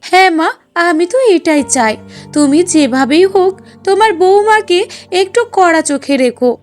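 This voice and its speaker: A woman playing a high, spiteful young sister-in-law. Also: animated, moderate pace, soft background music. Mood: indignant, complaining. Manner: scolding, complaining, demanding. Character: spiteful, whiny young sister-in-law who tells tales. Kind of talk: Bengali cartoon dialogue.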